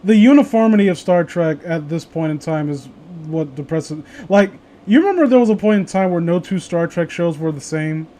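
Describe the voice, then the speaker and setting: A man's voice: on the high side, expressive, normal speed, few pauses, warm tone. A man chatting casually as a co-host, rambling and swearing offhandedly. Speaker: co-host of an online read-along chat. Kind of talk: casual chat.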